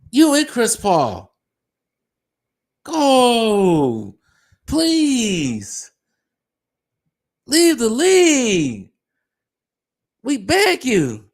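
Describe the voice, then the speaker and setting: A man giving a mocking, scornful basketball rant, his voice going hoarse. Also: very high voice, wildly swooping pitch, extremely slow, pauses often. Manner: mocking, scornful, pleading in jest. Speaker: sports commentator. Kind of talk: ranting basketball commentary.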